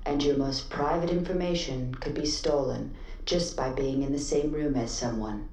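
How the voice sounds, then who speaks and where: Very deep-voiced woman ominous film announcer. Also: moderate pace, darkish tone. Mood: ominous, measured. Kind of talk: scripted ominous propaganda narration. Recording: roomy, noisy playback of old film.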